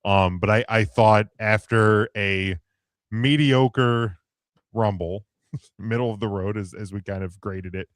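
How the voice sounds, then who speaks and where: A man hosting a casual wrestling podcast, talking in halting bursts with many fillers and restarts. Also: lowish voice, fairly even intonation, no echo, clean studio sound. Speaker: podcast host.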